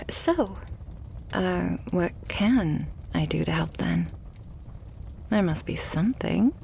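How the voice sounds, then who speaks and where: A woman playing a loving, possessive vampire girlfriend in an intimate ASMR roleplay, murmuring softly and breathily. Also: fairly low pitch, animated, slight background noise, full character voice. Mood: protective, concerned. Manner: soft, breathy, slow murmuring that often drops to whispers.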